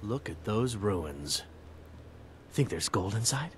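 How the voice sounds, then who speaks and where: A man's voice: lowish voice, big pitch swings, slow, a little hiss or hum. An actor playing a male adventurer companion in scripted fantasy video game dialogue. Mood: curious.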